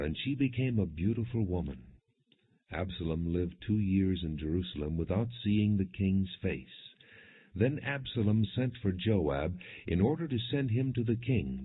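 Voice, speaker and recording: A man with a very low voice reads scripture in a measured, formal way, as an audiobook narrator would. His voice is rather husky and rough-edged, and the recording is narrow and muffled, much like a phone line. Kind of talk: scripted scripture narration, non-dramatized reading.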